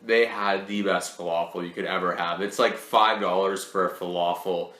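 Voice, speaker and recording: A man's voice: low-pitched, steady tone, moderate pace, pushed and bright. A young man chatting casually as a podcast guest, easygoing and joking. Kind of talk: casual chat. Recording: echoey room.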